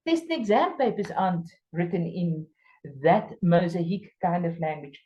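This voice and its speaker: A woman from South Africa with an Afrikaans accent, speaking English as her second language while training teachers. She lectures emphatically, stressing key words with sudden jumps in pitch. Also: big pitch swings, measured pace, warm tone, muffled recording. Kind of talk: workshop lecture.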